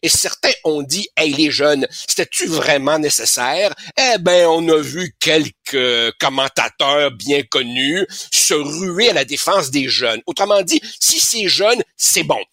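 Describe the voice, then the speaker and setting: A man in his sixties with a Québécois accent delivers opinionated commentary in a bright, forceful tone. His voice is slightly husky, and he often stumbles over repeated words before pressing his point. The sound is dry and close to the mic. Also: fairly high pitch, lively intonation, measured pace, few pauses, no room sound. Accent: Québécois French. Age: 60s. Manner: stammering repetitions, emphatic. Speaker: columnist.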